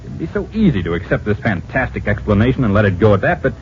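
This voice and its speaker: An actor playing a practical, skeptical official in an old-time radio drama, brisk and authoritative. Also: male voice, on the low side, very animated. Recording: muffled old radio recording, faint hiss.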